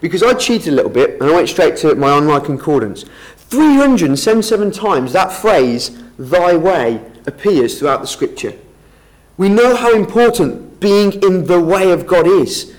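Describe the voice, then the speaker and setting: A man preaches to a roomful of listeners, explaining scripture in an earnest, teaching way. His voice sits a little high and swings widely in pitch. He often restarts his sentences as he builds his points. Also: sing-song, normal speed, poor audio. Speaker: lay preacher. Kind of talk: Bible talk to a congregation. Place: meeting room with an audience.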